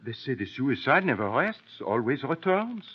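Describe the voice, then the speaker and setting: A dull, lo-fi old radio recording carries a vain Belgian detective with a French-speaking accent. His pitch swoops expressively as he speaks with courteous, theatrical precision, pompous and shrewd. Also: very animated, moderate pace, breathy edge. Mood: calm, courteous. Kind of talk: old-time radio mystery acting.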